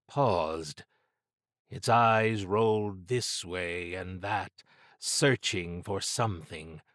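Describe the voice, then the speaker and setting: A man reading scripted fiction as an audiobook narrator, with measured, deliberate, dramatic phrasing. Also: lowish voice, a bit bright, dry recording, clean studio sound.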